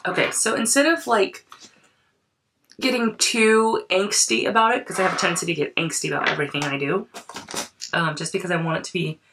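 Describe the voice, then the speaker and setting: A woman's voice: fairly low pitch, fairly quick, recorded from a distance, noisy background. Woman with a cold, chatting while crafting. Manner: chatty, thinks aloud, frequent restarts. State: voice affected by a cold. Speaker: creativity coach. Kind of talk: casual crafting vlog.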